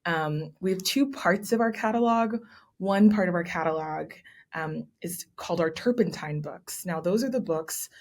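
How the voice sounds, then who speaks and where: A woman's voice: lowish voice, moderate pace. A young adult woman, raised in Texas, giving articulate, explanatory interview answers as a guest, often adding "right?". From born in Nigeria, raised in Texas. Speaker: guest, commissioning editor.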